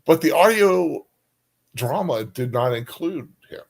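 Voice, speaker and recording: A man chatting casually as a co-host, halting and often searching for his words. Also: a little high, animated, vocal fry. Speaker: livestream co-host. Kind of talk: casual chat.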